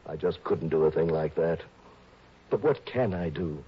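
An actor playing an anxious middle-aged stockbroker who is being blackmailed, fretful, pleading and refined, in a 1960s radio drama. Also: male voice, extremely low pitch, animated, moderate pace, dull audio, a little hiss or hum. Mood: desperate.

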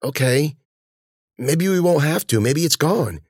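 Man acting a panicked young man, pitched way up. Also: sing-song, moderate pace, gravelly, husky, dry recording, clean studio sound, full character voice. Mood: anxious, bewildered. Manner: urgent, incredulous, sweary. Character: panicked, exasperated young man yelling in a storm.

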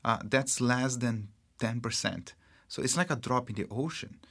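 A man from Brazil with a Brazilian accent, a marketing executive speaking as an interview guest in a halting way, full of fillers and restarts. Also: medium pitch, fairly bright tone, slightly rough. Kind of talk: casual interview talk.

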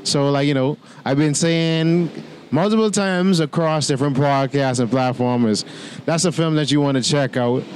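A Bahamian man hosting a casual podcast with a mixed Bahamian and American accent, talking in an animated, loose, conversational way. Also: on the high side, moderate pace, slight background noise. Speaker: podcast host. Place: outdoors beside a street.

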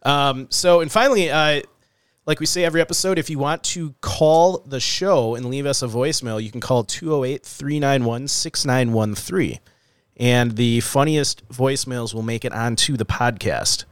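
A man's voice: mid-range voice, normal speed, clear, resonant tone, no echo, studio quality. A man co-hosting a podcast in casual chat, sounding slightly nasal from allergies. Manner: relaxed, chatty, frequent "uh" and "um". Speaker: podcast co-host.

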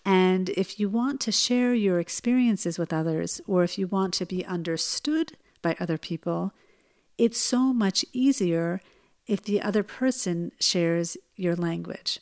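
A woman hosting her own podcast, speaking in a gentle, earnest way and telling personal stories. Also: lowish voice, unhurried. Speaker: podcast host. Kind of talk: solo podcast talk.

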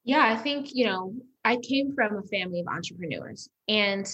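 A woman's voice: mid-range voice, lively intonation, normal speed, a bit bright, husky, muffled recording. A woman from Uganda, raised in the US, speaking as an upbeat, motivational guest on a casual podcast interview, often laughing. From Uganda, raised in Las Vegas. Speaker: guest entrepreneur.

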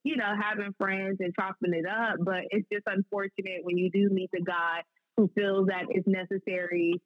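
A woman's voice: medium pitch, little pitch movement, moderate pace, no echo, phone-quality sound. A woman speaking candidly and slangily as a guest sports reporter in a casual podcast conversation.

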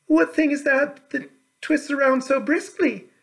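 A man's voice: very high-pitched, measured pace, vocal fry, voice pushed up, exaggerated voice. A man voicing a curious teenage princess in a storybook, chirpy and inquisitive. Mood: curious, cheerful. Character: bright, curious fifteen-year-old princess.

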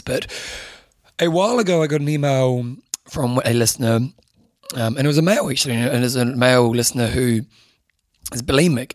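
A hoarse man, his voice strained and worn, talking through his podcast in a loose, rambling way. His pitch sits in a middling range, and the recording is close and dry with no room sound. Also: no room sound. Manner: rambling, many restarts and fillers. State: strained, losing voice. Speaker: podcast host. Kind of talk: casual podcast monologue.

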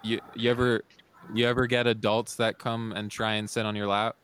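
A man's voice: on the low side, level tone, moderate pace, fairly bright tone, a little hiss or hum. A man co-hosting a casual, comedic conversation, asking questions in a jokey, easygoing way. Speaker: interviewer, co-host.

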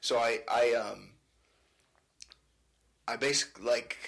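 Creaky-voiced young American man, rambling casually. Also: medium pitch, fairly even intonation, fairly bright tone. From United States, California. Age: 20s. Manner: rambling, halting, slangy. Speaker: podcast guest, amateur fighter. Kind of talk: casual chat.

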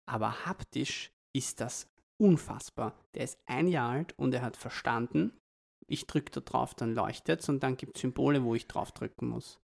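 Casual podcast host, young adult, Austrian accent, creaky mid-range voice, loose rambling delivery. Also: mid-range voice, moderate pace.

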